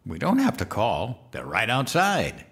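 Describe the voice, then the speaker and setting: An actor playing a childlike, eager, guileless president-elect in a comedic audio drama. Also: male voice, medium pitch, huge pitch swings, a little slow, gravelly, a bit bright, exaggerated voice. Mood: naive, eager. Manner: guileless, simple-minded, earnest talk.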